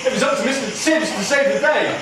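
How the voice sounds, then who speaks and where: A man's voice: very high-pitched, brisk. A man narrating a live puppet show for children as its storyteller. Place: large room, live audience. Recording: echoey hall, far from mic, noisy.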